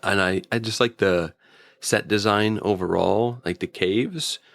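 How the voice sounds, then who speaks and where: A man's voice: fairly low pitch, a little slow, gravelly, a bit bright, dry recording, clean studio sound. A man chatting casually as a guest reviewer, with an informal, enthusiastic fan's way of talking. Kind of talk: casual episode review chat.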